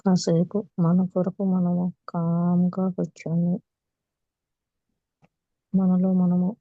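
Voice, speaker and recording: A woman with a Telugu accent leading a guided meditation as a teacher, calm and unhurried, with long pauses. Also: on the low side. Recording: muffled, echoey room recording.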